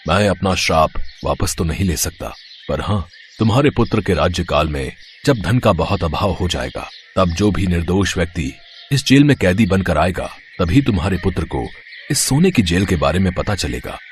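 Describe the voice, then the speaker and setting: An actor playing solemn, formal older men of authority in a cartoon moral story. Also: male voice, deep voice, animated, moderate pace, slight background noise. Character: solemn, deep-voiced elder men of authority: judge, kings, cursing beggar.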